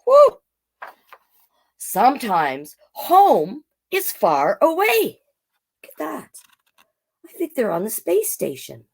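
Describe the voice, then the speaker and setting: A woman with a fairly high voice reads aloud to children as a storytime host. Her delivery is unhurried and swings widely in pitch. She is playful and encouraging, slipping from the book into chatty asides addressed to her young viewers. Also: huge pitch swings, gravelly.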